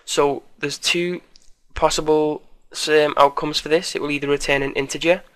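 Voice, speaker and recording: A man presenting a casual programming tutorial in an informal, hesitant, apologetic way, slightly croaky as he recovers from a cold. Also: on the high side, steady tone, normal speed, a bit bright, dry recording.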